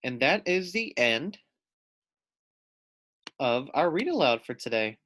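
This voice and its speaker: A man's voice: medium pitch, sing-song, slow, a bit bright. A man reading a storybook aloud like a teacher, expressively, talking directly to young listeners. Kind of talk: storybook read-aloud with questions for children.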